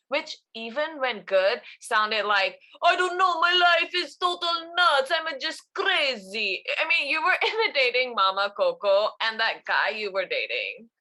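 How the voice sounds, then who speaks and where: A woman's voice: fairly high pitch, big pitch swings, unhurried, few pauses, pushed and bright, full character voice. A woman playing a sarcastic, exasperated grown daughter, dry and incredulous, at a screenplay table read.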